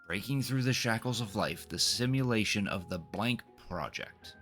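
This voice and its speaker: Low-voiced man streaming a video game. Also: moderate pace, fairly bright tone. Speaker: game livestream host. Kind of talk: reading game dialogue aloud and chatting to stream viewers. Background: video game music.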